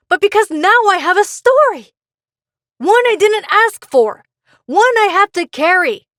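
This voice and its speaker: A woman's voice: very high voice, a bit bright, no room sound, clean studio sound, full character voice. A woman playing a bitter, sardonic, brooding caller in an audio drama. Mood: bitter, frustrated. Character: bitter, annoyed female caller searching for meaning.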